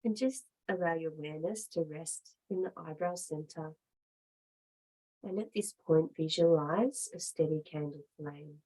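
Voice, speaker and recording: A woman teaching yoga, guiding relaxation and meditation in short, measured phrases with long pauses. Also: sits low, warm tone, husky, low-quality recording. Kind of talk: guided relaxation and meditation instruction.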